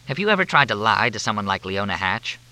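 A man as a wry, deadpan young reporter. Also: lowish voice, quick pace, full character voice. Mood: dry. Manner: dry and deadpan.

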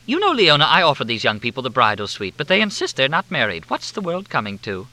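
A woman's voice: extremely low pitch, huge pitch swings, quick pace, bright, forceful tone, no room sound, pitched above the performer's own voice, exaggerated voice, low-quality recording. A man voicing an effusive, fluttery middle-aged society hostess. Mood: gushing.

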